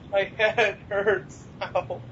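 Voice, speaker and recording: A young man in casual podcast banter, giggly, profane and self-mocking, as a co-host. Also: a bit bright. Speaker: podcast co-host and amateur comedy writer. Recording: dull lo-fi voice chat, noisy rumble.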